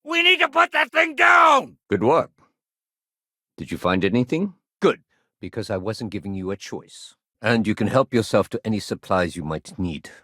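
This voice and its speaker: The lowish-voiced, wry, by-the-book police sergeant you'd meet at a spaceport desk in a sci-fi game, clipped and dutiful, his pitch moving freely. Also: lively intonation, normal speed, dry recording, clean studio sound. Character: male city security sergeant, dry, no-nonsense, dutiful. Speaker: video game character voice actor. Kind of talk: video game dialogue.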